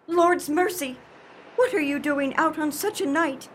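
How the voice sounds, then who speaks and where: A woman's voice: sits high, a little slow, slight background noise. An actress playing a kindly, fretful old farmer's wife in a comic radio melodrama, earnest and motherly in a theatrical way. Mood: motherly concern.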